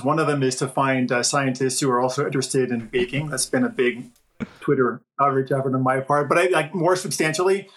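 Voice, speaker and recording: A man's voice: mid-range voice, a bit bright. A man speaking as a guest editor on a podcast, talking in a thoughtful, loose, conversational way. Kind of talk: casual discussion.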